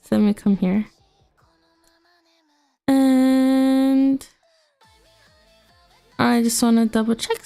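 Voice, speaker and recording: Congested young woman rambling on stream, kinda high voice, filler words everywhere. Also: darkish tone, smooth. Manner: chatty, rambling, giggly, lots of fillers. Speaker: VTuber streamer. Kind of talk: casual livestream chat. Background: faint music.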